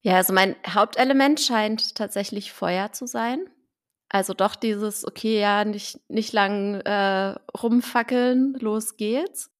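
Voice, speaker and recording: A woman hosting a casual chat podcast, lively, laughing often and using many fillers. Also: medium pitch, takes it slowly. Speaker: podcast host.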